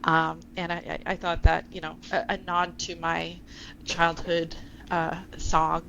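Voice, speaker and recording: Canadian woman author, low gravelly voice, chatty with frequent fillers, dull lo-fi sound. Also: completely flat delivery, a little slow, a bit bright, slight background noise. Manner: chatty, many ums and restarts. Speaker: author, guest. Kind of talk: casual interview talk.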